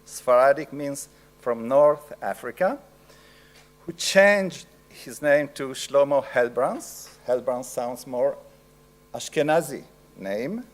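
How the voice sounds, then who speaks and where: A middle-aged man with an Italian accent giving an academic lecture, slow and halting with frequent pauses. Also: mid-range voice, expressive.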